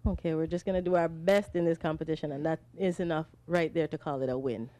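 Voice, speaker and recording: A woman's voice: low voice, steady tone, fast talker, mellow, dry recording. A woman with a light Jamaican accent, a regional cricket director, giving brief, composed and dryly witty answers in a booth interview. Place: commentary booth at a cricket ground.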